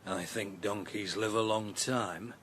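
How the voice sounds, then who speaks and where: An actor playing a gloomy, wary old male donkey in a radio drama, speaking in a dry, deliberate way. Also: on the low side, steady tone, a bit bright, a little hiss or hum. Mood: dry, cynical.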